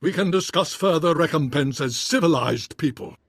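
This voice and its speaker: An actor playing a proud nobleman baron in a video game, with a husky voice and a stately, dramatic, formal manner. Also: a little high, normal speed, dry recording, clean studio sound. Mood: humbled, dignified.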